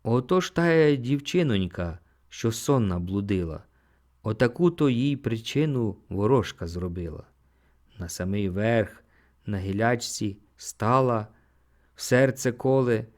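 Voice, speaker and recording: A man narrating an audiobook, reciting poetry in an expressive, theatrical way. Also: on the low side, unhurried. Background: music.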